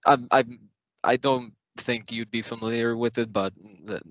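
A man's voice: mid-range voice, flat delivery, unhurried, fairly bright tone, no echo, highs cut off. A young male university student from Serbia, a guest on a video call, who speaks hesitantly with many fillers and frequent laughs. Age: young adult. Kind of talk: casual video-call interview.

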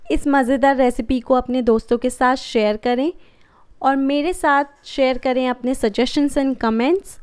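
A woman hosting a cooking channel, giving calm, friendly step-by-step recipe instructions in a voice-over. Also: high voice, a little slow, smooth.

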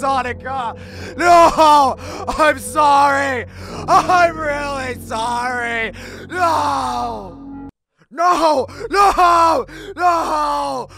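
High, gravelly, panicky hedgehog antihero. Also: male voice, heavily acted. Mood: desperate shouting. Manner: melodramatic, repetitive yelling and stammering. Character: edgy, brooding male hedgehog, easily panicked and exasperated. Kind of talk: cartoon comic dub acting.

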